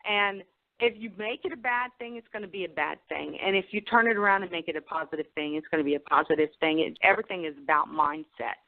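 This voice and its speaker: A woman's voice: on the low side, quick pace. A woman hosting a talk show in a casual, earnest, advising conversational style. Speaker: show host. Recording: narrow phone-like radio sound.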